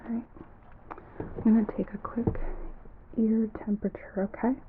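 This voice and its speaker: A woman giving calm, gentle clinical instructions as a doctor in an ASMR examination roleplay, often dropping into a soft whisper. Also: a little high, moderate pace, phone-quality sound, background noise. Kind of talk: ASMR medical exam roleplay.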